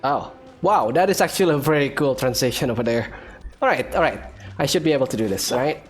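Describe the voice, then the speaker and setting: Very low-voiced woman commenting on a game. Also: expressive, fast. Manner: chatty, reacts aloud. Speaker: gaming streamer. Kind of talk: casual gameplay commentary. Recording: game music behind the voice.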